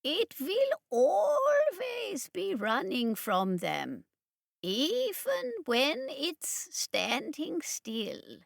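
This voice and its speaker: A woman's voice: high voice, huge pitch swings, extremely slow, gravelly, no echo, clean studio sound, voice pushed up, exaggerated voice. A woman voicing a nervous, hysterical male scientist who talks in a fussy, formal way. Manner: fussy, formal, giggling, jittery.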